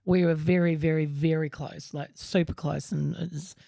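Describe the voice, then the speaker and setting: A woman's voice: low-pitched, fairly even intonation, moderate pace, creaky, dark tone, no room sound, clean studio sound. A woman co-hosting a casual podcast chat, talking openly and laughing often. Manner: chatty, laughs often, opens up on personal matters. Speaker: podcast co-host.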